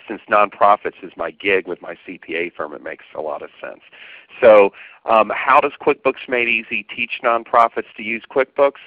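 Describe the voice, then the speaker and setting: A man's voice: fairly low pitch, no echo. Southern man on a tinny phone line. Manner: friendly, chatty, frequent fillers. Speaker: presenter, accountant and trainer. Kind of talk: casual webinar presenting.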